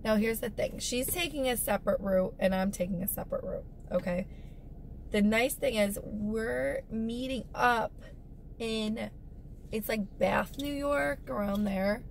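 A woman in her thirties with a hoarse, scratchy voice, hosting a chatty, frank vlog from inside her car. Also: on the high side, unhurried. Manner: frank, animated storytelling, repeats herself. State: hoarse, lost voice. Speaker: vlog host. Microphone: dash-mounted camera. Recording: distant mic with loud car noise.

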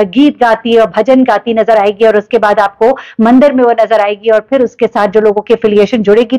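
A woman, commenting to camera in a vlog with animated, ironic storytelling. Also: fairly high pitch. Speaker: vlogger. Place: living room indoors.

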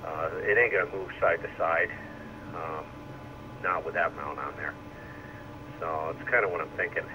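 A man chatting casually over two-way radio as an amateur operator, rambling and thinking out loud. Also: deep voice, fairly even intonation, moderate pace. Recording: radio speaker re-recorded with loud noise.

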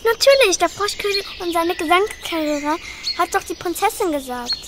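A woman's voice: extremely high pitch, lively intonation, moderate pace, noisy background. Woman playing curious young hero Janno. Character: Janno, young fairy-tale rescuer, curious and impulsive. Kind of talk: children's radio play acting.

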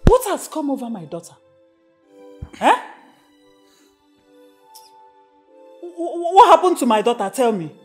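A woman's voice: high voice, big pitch swings, brisk, darkish tone. A woman playing an indignant, domineering middle-aged village mother with a Nigerian accent in a film drama. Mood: distressed. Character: indignant, domineering village mother scolding her grown daughter. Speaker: actress. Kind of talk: emotional film drama acting. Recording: echoey room, music underneath.

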